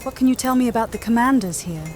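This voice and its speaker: A woman's voice: fairly high pitch, quick pace, faint music behind, close to an everyday voice. An actress playing a poised, determined young Roman noblewoman in scripted game dialogue. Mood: curious. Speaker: protagonist of a role-playing game.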